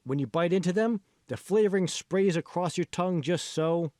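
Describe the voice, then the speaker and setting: A man voicing an earnest, forceful male mentor who lectures emphatically in an audiobook. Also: high-pitched, moderate pace, no room sound, clean studio sound, voice pushed up, close to an everyday voice. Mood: emphatic, lecturing. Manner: emphatic, instructive.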